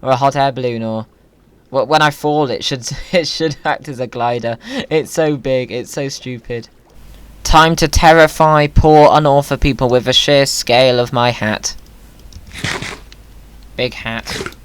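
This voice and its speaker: A man's voice: mid-range voice, measured pace, clean tone. A man giving playful gaming commentary in a whimsical, silly manner. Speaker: video creator, gamer.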